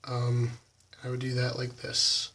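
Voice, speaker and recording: Hoarse, flat-voiced young man. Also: mid-range voice, far from the mic, slight background noise. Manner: halting, many ums, soft and quiet. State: slightly hoarse. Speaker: YouTube cubing channel host. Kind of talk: casual tutorial walkthrough.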